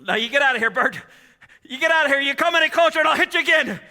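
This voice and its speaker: A man's voice: animated, fast, sharp tone, full character voice. A man playing an emotional family man in an old-time radio play, exclaiming and repeating himself. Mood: agitated. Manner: highly emotional, exclaiming, repetitive. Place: live stage in a hall. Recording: echoey hall, live stage taping.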